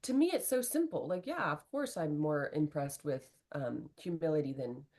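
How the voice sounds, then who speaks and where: Middle-aged woman, low-pitched, relationship coach; earnest, candid interview talk. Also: moderate pace. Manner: earnest, animated, fillers like "right?".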